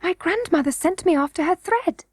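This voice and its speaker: A woman's voice: very high-pitched, lively intonation, normal speed, no echo, studio quality, pitched above the performer's own voice. A woman voicing an eager, trusting little princess girl, earnest and breathless, in a children's storybook reading.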